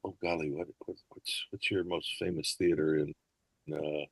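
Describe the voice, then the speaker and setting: A man's voice: a bass voice, flat delivery, normal speed, breathy edge, dry recording, low-quality recording. An older man chatting as a podcast guest, telling rambling stories and dropping into accent impressions now and then. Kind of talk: casual interview chat.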